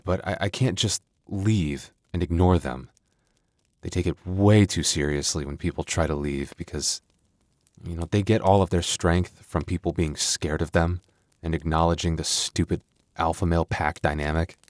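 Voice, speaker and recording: A man's voice: low voice, normal speed, no echo, studio quality, natural voice. A man playing a young werewolf boyfriend, tender but protective with a tough edge, in an intimate ASMR roleplay. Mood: troubled, confiding.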